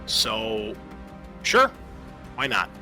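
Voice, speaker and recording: Bright-voiced male tabletop game master. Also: lowish voice, wildly swooping pitch, extremely slow, soft background music. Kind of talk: running a tabletop roleplaying game, improvised narration and rulings.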